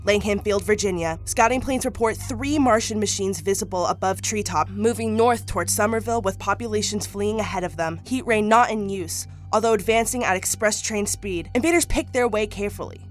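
A woman's voice: mid-range voice, fairly quick, few pauses, close to an everyday voice. A woman playing a radio announcer, reading clipped, formal wire bulletins in a radio drama. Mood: formal, urgent.

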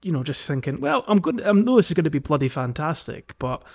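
A man co-hosting a podcast in casual chat, speaking in a hesitant, halting way. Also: fairly high pitch, animated. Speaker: podcast co-host. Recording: dull lo-fi microphone audio.

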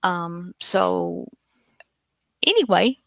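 Lady host rambling along real slow, croaky fry in her voice, folksy n teasing, dry sound. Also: on the low side, animated, a bit bright, no room sound. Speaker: co-host of an old-time radio online show. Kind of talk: casual unscripted chat introduction.

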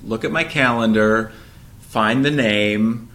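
A man's voice: fairly low pitch, takes it slowly, fairly bright tone. A man co-hosting a casual tech discussion, agreeable and often affirming, laying out his reasoning step by step. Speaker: podcast host, venture investor.

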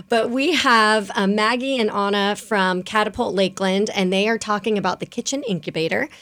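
A woman guest-hosting a local talk show, friendly and quick to laugh as she introduces guests. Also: medium pitch, fairly bright tone. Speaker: guest host.

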